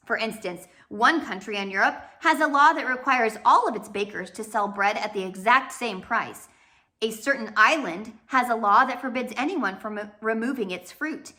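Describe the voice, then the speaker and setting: A woman narrating a children's storybook aloud in an expressive, scripted reading style. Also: fairly high pitch, normal speed. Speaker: audiobook narrator.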